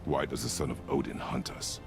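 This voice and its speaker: Grim warrior father, bottomless bass, heavy rasping growl, curt, commanding, fantasy game, light score behind. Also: fairly even intonation, a little fast, over music. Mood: stern, guarded. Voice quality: deep gravelly voice. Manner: terse, commanding. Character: stern brooding warrior father, gruff and terse.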